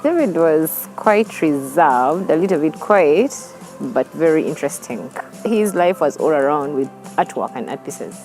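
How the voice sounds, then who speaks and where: A woman's voice: low-pitched, lively intonation, unhurried, a little mellow, soft background music. A woman with a Ugandan accent giving a personal testimonial in a documentary interview. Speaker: family member giving a testimonial.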